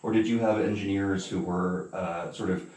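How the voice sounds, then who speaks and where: Man, deep low voice, casual interviewer, hesitant, rambling, word-searching, roomy distant pickup. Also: fairly even intonation, moderate pace, darkish tone. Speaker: interviewer, musician. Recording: echoey room, distant mic.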